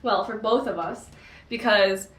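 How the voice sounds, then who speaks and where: A woman's voice: mid-range voice, measured pace, a bit bright. A young woman co-hosting a casual book discussion, talking in a chatty way with lots of filler words. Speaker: co-host of a book discussion channel. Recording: echoey room.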